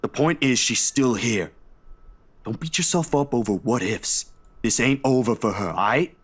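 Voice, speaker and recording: A man voice-acting a steady, easygoing friend in a visual novel, casual and coaxing as he reassures. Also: medium pitch, a little slow, breathy edge. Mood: firm, reassuring.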